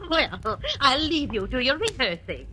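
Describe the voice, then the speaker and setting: A woman's voice: high voice, sing-song, measured pace, bright, forceful tone, exaggerated voice. An actress playing a mocking, domineering wife who sarcastically taunts her husband in 1940s radio drama. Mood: teasing. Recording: hissy old radio broadcast.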